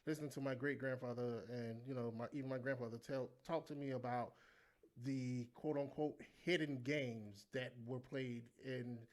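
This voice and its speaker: A man co-hosting a sports talk show with a voice of middle pitch. He talks casually and haltingly, pausing to search for the right words. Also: fairly even intonation. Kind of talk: casual chat.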